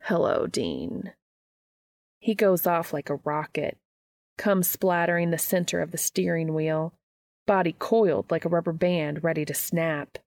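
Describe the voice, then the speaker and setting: A woman's voice: on the low side, steady tone, moderate pace, a little mellow, dry recording, clean studio sound. A woman reading a scripted story as an audiobook-style narrator. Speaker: audiobook narrator of fan fiction.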